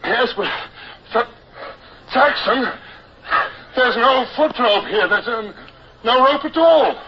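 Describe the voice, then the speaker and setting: An actor playing an anxious young British naval officer in command, urgent and strained, in an old radio drama. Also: male voice, extremely high pitch, animated, slow, heavily acted. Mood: frightened, halting. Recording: muffled, noisy old radio recording.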